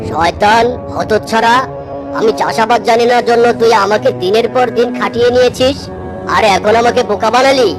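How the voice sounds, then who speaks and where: A woman's voice: on the high side, fairly quick, over music, natural voice. A woman voicing a gullible, hardworking male tiger uncle in a children's cartoon, earnest and plain-spoken. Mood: furious.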